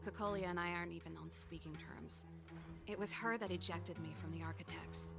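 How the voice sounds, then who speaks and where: A woman's voice: on the low side, fairly even intonation, brisk, gravelly. Easygoing game mechanic lady, confident n breezy, kinda confiding, music playing behind her. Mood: calm, assured. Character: easygoing self-assured adult woman mechanic and former researcher. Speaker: video game character. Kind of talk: scripted game dialogue.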